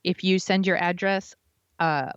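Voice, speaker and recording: The sound is dry and somewhat dull, a lo-fi microphone. An American woman speaks in a lowish voice as a podcast co-host. She chats casually in slangy, informal phrasing. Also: steady tone, unhurried, clean tone, no room sound. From United States. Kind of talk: casual chat.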